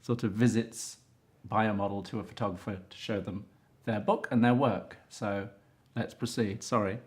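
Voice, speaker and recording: A man hosting a casual interview, chatty and quick to jump in with comments and laughs. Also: on the low side, fairly even intonation, a little mellow. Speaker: interviewer and show host.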